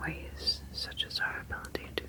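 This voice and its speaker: A woman playing a gentle, reassuring humanoid ocean alien in a soothing, formally phrased ASMR sci-fi roleplay. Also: moderate pace, close to an everyday voice. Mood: calm, explanatory.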